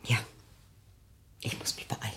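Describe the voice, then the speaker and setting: A woman's voice: measured pace, fairly bright tone, whispered, natural voice. An actress playing an anxious, protective middle-aged mother in a German radio drama, soothing and placating in a theatrical manner. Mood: worried, placating. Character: anxious, protective, coaxing mother of a troubled daughter.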